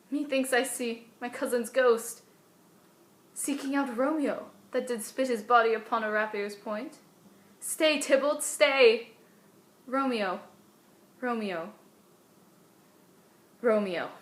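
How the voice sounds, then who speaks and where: Woman doing scared teenage girl from a stage tragedy, higher voice, anxious wavering shakespeare soliloquy, desperate. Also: slow pace, pauses often, roomy sound. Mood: haunted, desperate. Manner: anxious, wavering, archaic verse delivery.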